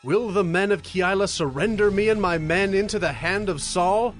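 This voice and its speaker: A man's voice: high voice, normal speed, soft background music, close to an everyday voice. A man playing a devout, earnest warrior leader in a dramatized Bible, with solemn, projected delivery. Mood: pleading, devout. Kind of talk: dramatized Bible audio acting.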